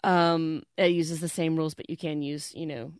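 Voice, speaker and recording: Lady rambling slowly thru facts, lots of ums n throat clearing, kinda low voice, super dry mic. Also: fairly even intonation, no echo. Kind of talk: casual researched chat.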